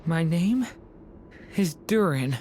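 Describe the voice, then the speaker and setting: A woman voicing a gentle, earnest young dragon boy in a video game, speaking softly and hesitantly. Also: lowish voice, animated, slight background noise. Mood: lost, searching. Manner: soft, hesitant, halting. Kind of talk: dramatic video game voice acting.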